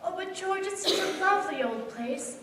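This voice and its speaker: Actress as a very high-voiced coy young woman. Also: lively intonation, moderate pace. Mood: sweet, coy. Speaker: school play actress. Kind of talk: stage radio play acting. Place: school theater stage. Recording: echoey hall, distant mic, faint noise.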